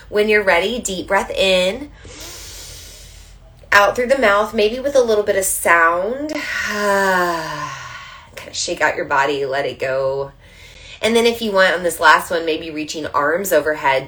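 A woman hosting a live online moon circle, slightly stuffy from allergies, chatting casually and gently guiding breathing. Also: medium pitch. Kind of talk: casual livestream with guided breathing. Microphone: livestream camera mic.

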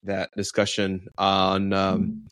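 A man co-hosts a laid-back podcast about fatherhood. His voice sits a little low, and it is recorded close and dry. He keeps the conversation moving in an easy, chatty way. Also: slow pace, no echo. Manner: relaxed, conversational, steers the topics. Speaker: podcast co-host. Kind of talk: casual chat.

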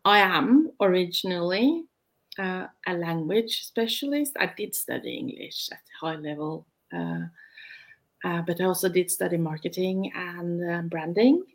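A woman's voice: fairly low pitch, lively intonation, unhurried. A middle-aged woman with a Norwegian accent, co-hosting a casual welcome chat in a chatty way, with laughs and many hesitant fillers. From Norway. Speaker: co-host, knitting teacher.

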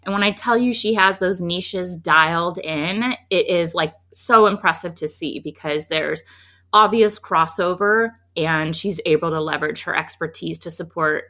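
A woman podcast host with a bright, forward voice that crackles with fry. She sounds a little scratchy and under the weather, yet talks in a chatty, effusive, emphatic style. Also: on the low side. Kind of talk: upbeat conversational podcast intro and interview.

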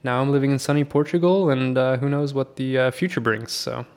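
A man raised in Sweden talks casually as a podcast guest, with a gravelly edge to his mid-pitched voice. He rambles, stops and restarts, and fills the gaps with plenty of "uh" and "you know". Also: fairly quick. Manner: relaxed, rambling with many fillers and restarts.

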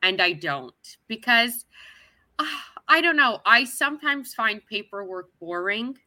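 A woman's voice: on the high side, very animated, unhurried, pushed and bright, no room sound. A woman from Canada, talking as an interview guest in a chatty, upbeat way. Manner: chatty and upbeat, with frequent restarts and laughs. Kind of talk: casual interview chat.

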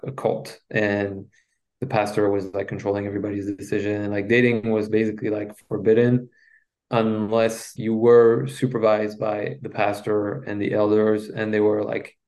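A man from Belgium whose first language is French, speaking English with a Belgian French accent, recounting his past as a podcast guest in a halting way with frequent restarts. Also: fairly low pitch, completely flat delivery, darkish tone, low-quality recording. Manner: halting, with many restarts and fillers. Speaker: guest, relationship coach. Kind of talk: personal story in a podcast interview.

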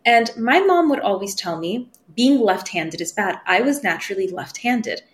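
A woman's voice: mid-range voice, animated, moderate pace. A woman whose was Farsi, telling personal stories as a podcast guest and acting out the voices she quotes, laughing easily. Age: 30s. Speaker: podcast guest, spiritual medium. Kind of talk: casual interview storytelling.

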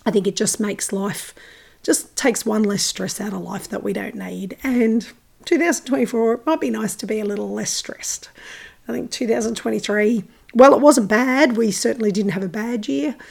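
A woman hosting her farming podcast in casual, enthusiastic chat, her voice croaky and hoarse from a recent illness. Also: a little high, normal speed. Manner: chatty, enthusiastic, frequent ums and uhs. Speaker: podcast host.

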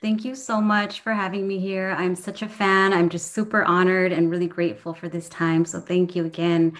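A woman's voice: on the low side, level tone, moderate pace. A woman from Southern California, a professor and guest, talking casually and earnestly with frequent ums. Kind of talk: casual conversation.

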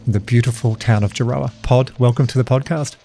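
A man's voice: medium pitch, steady tone, brisk, vocal fry, mellow, faint music behind. Chatty man hosting a podcast. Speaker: podcast host. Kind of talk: conversational interviewing.

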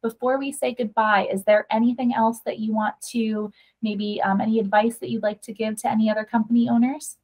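A woman's voice: fairly high pitch, level tone, fairly quick, hardly any pauses, darkish tone, low-quality recording. A woman hosting a casual interview podcast, speaking in a gushing, affirming manner. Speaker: podcast host.

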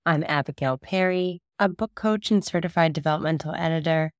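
Low-voiced woman podcast host, scratchy. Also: brisk, dry recording, clean studio sound. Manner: enthusiastic, upbeat. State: scratchy voice from a cold. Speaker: podcast host, book coach. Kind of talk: podcast intro and casual chat.

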